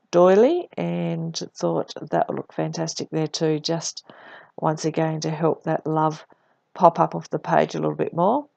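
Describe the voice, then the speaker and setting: A woman with a cold, her voice croaky, deep and husky, narrating her scrapbooking in a relaxed voice-over. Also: dry recording. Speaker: scrapbooking vlogger.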